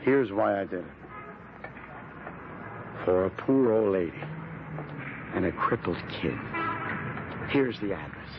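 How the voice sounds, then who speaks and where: An actor playing a desperate, hapless husband in an old-time radio drama, pleading and protesting in plain working-class speech. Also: male voice, sits low, lively intonation, slow pace, pauses often, over music, heavily acted. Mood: anxious, earnest. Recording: muffled vintage radio recording.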